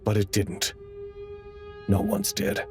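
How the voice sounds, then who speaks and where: A man acting as an anxious, earnest researcher in an audio drama, giving dramatic and deliberate log reports. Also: a little mellow, soft background music, lightly acted. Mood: grave, uneasy. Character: anxious, earnest male facility researcher narrating crisis logs.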